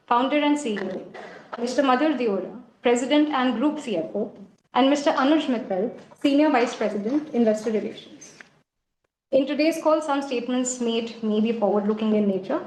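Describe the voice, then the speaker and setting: A woman speaks in a fairly high voice, reading formal announcements as a call moderator. The sound is a roomy video call with clear noise behind her. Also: darkish tone.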